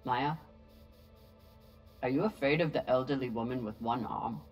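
An actress playing a cool, capable, dry-witted spaceship officer in a sci-fi video game. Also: female voice, rumbling low, normal speed, breathy edge, distant mic, muffled recording, faint music behind. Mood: dry teasing.

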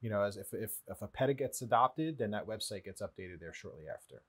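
The low-voiced guy from the local animal shelter, seated on a community TV set, explaining how it all works. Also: lively intonation, fairly quick, breathy edge. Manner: often tags statements with "right?". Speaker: animal shelter representative, interview guest. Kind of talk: explanatory interview talk. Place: small indoor studio-office, seated.

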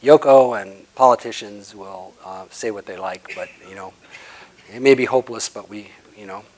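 A man in his sixties, the guest speaker, answering audience questions after a lecture in a conference room, pushing hard on key words and letting phrase ends fade quietly. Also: lowish voice, moderate pace, a little hiss or hum, poor audio. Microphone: lapel.

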